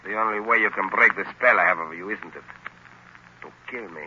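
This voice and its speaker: Casting: menacing professor villain, commanding and forceful, old radio drama with thin, band-limited sound. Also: male voice, sits low. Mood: coldly commanding. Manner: commanding, deliberate, insistent. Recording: noisy, narrow-band vintage radio recording.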